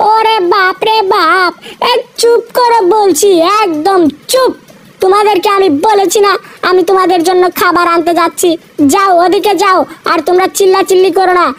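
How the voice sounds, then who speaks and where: A woman voicing a small cartoon mouse who panics and exclaims in alarm. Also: very high voice.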